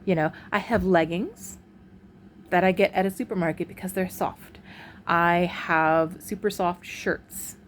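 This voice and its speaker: Woman vlogger, low deep voice, frank and halting delivery, faint room hiss behind. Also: steady tone. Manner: frank, halting, with pauses and restarts. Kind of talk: candid personal vlog. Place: at home, indoors. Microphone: phone camera, recorded in portrait mode.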